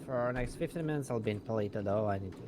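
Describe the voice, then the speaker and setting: Casting: man, fairly low voice, casual halting explainer in role-play, clear vehicle noise behind. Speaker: role-player in an online crime game.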